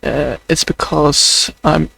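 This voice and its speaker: A man's voice: a little high, steady tone, creaky, bright, forceful tone, husky, poor audio. A young man with a Swedish accent talking unscripted in a video diary, hesitant and full of fillers, a voice people say sounds close to crying. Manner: hesitant, many fillers, slow and halting. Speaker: video journal creator.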